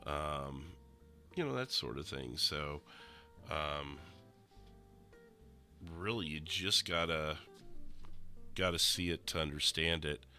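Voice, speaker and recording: Young man, very low voice, sharp bright tone, nasal and rough, rambling gamer chat. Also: takes it slowly, long pauses, soft background music. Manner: relaxed, rambling explanations with many fillers. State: nasal and rough from recent illness. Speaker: streamer explaining a roleplay server. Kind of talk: casual gameplay commentary.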